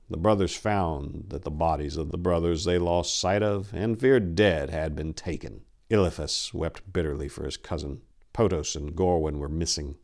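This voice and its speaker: A man giving a solemn, deliberate dramatic reading of a dark fantasy horror story, in a theatrical manner. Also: extremely low pitch, creaky. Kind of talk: dramatic audiobook narration.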